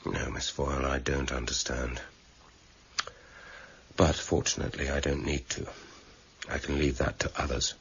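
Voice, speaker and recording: An actor playing a weary, measured senior police detective with quiet authority and an English accent, in a radio drama. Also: male voice, a bass voice, level tone, husky, exaggerated voice. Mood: cold. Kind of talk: 1990s British radio drama acting. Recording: muffled, noisy old radio broadcast recording.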